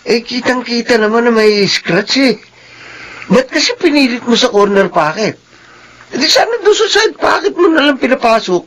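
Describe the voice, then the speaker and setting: A man's voice: very high voice, sing-song, normal speed, fairly bright tone, a little hiss or hum, exaggerated voice. A man acting a frank young buddy who chides his friend in a radio drama, over faint background ambience. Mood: chiding.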